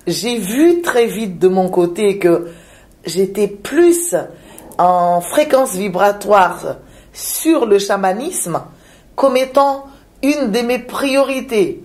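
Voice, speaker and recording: Woman from Madagascar, unhurried delivery, halting and searching for words, reflective interview guest, mid-pitched voice. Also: animated, darkish tone. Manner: slow, searching, with frequent hesitations and repeated words. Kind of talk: reflective spiritual interview talk.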